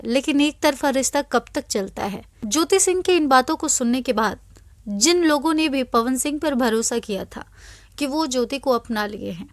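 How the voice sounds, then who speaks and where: A woman's voice: on the high side, no room sound, clean studio sound. A woman reading scripted celebrity news as an anchor, in an emphatic, dramatic storytelling way.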